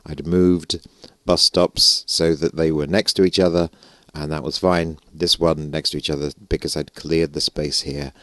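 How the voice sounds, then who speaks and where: British male gamer, hoarse and gravelly, very low, self-mocking rambler, dry sound. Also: little pitch movement, normal speed, fairly bright tone, no echo. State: hoarse, gravelly voice after losing it. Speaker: gaming YouTuber. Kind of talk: casual let's-play commentary. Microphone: stage vocal mic close up.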